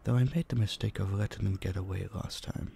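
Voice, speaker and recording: A man playing an obsessive, possessive vampire captor in an intimate audio drama, hushed, teasing and dominant, menacingly sweet, dipping into whispers. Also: lowish voice, a little fast, gravelly, a little hiss or hum. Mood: teasing menace. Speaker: voice actor. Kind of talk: intimate roleplay audio drama, addressing the listener.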